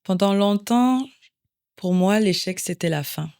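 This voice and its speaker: A woman in her thirties from Cameroon, a podcast guest who talks in a reflective, searching way with many pauses and fillers. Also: medium pitch, darkish tone, smooth. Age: 30s. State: emotional, close to tears. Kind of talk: podcast interview.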